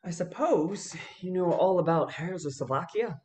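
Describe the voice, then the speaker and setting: A man playing an easygoing gold-hunting adventurer in a radio play, telling his story in a halting way. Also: sits high, animated, a little mellow.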